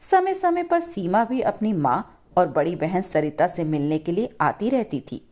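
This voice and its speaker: Lively low-voiced woman storyteller. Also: a little slow. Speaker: narrator. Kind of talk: scripted moral story narration.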